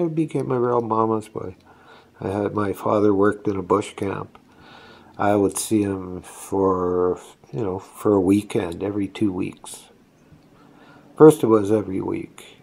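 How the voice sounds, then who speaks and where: A man's voice: lowish voice, creaky, darkish tone, breathy edge. A man in his sixties whose first language is Estonian, telling his life story in a rambling, reflective way, recorded at home on a close-held phone. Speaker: vlogger. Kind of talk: personal storytelling. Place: indoors, seated on a couch at home. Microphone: phone camera held close.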